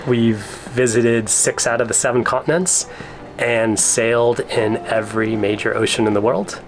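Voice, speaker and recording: A man's voice: mid-range voice, steady tone, normal speed, low-quality recording. An American man talking casually and explaining things in an easygoing way, as a sailing vlogger on a tour. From Arizona, United States. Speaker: sailing vlogger and boat owner.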